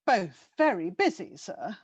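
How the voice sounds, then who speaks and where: A woman's voice: medium pitch, sing-song, unhurried, slightly rough, dry recording, muffled recording. A woman playing a polite, slightly flustered charity fundraiser in a radio-style drama, courteous, earnest and hesitant. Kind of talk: vintage radio drama acting.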